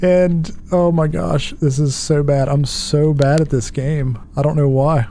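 A man's voice: on the high side, steady tone, a little slow, warm tone, slight background noise. A man in his thirties on a headset, casually narrating a puzzle game while muttering to himself, puzzled and self-deprecating. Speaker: gaming streamer. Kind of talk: casual let's-play commentary.

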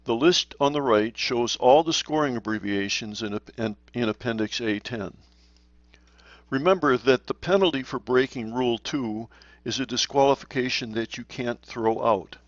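A man narrating scripted slides as an instructor, in a measured, explanatory manner. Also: mid-range voice, no echo, lo-fi sound. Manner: measured, instructional, poses questions to the learner. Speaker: sailing instructor.

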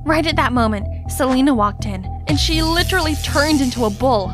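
Animated story heroine, played by a woman: high voice, young, outspoken, dramatic first-person narration over music. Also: fairly quick. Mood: storytelling.

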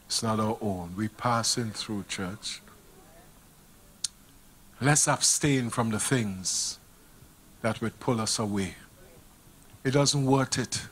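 A middle-aged man with a Vincentian accent preaching a sermon in a church, speaking into a handheld microphone, swinging from hushed appeals to loud exclamations. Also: medium pitch, a little hiss or hum. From Saint Vincent and the Grenadines. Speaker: pastor. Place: church sanctuary stage.

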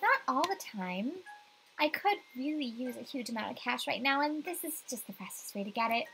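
A woman's voice: sits high, lively intonation, normal speed, creaky, a bit bright, faint music behind, full character voice, low-quality recording. A woman voicing a superstitious young female realtor, an earnest, slightly defensive visual novel heroine.

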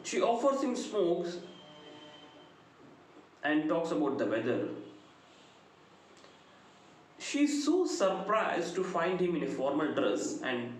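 A middle-aged man with an Indian accent giving a literature lecture, deliberate and emphatic, phrase by phrase. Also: on the high side, very animated. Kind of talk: lecture summarizing a play. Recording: very echoey room, faint background noise.

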